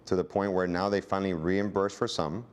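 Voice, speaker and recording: A man's voice: low voice, little pitch movement, fairly quick. A man speaking as an interviewee in a news story, a family caregiver giving his account.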